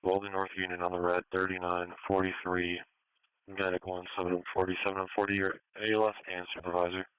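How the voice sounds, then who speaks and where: A low male voice, smooth and dry, crackles out of a phone-like scanner channel. He calls units and locations in short procedural bursts over two-way radio. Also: monotone, fast, no room sound. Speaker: emergency services radio operator. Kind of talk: terse emergency radio traffic. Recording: narrowband emergency scanner radio feed.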